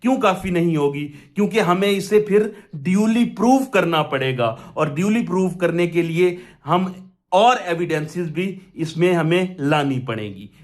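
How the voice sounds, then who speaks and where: A man's voice: high-pitched, animated, moderate pace. A man with a Pakistani accent giving an explanatory law lecture, methodically reading out clauses, repeating key phrases and answering his own questions. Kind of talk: explanatory lesson, reading statute clauses aloud and explaining them.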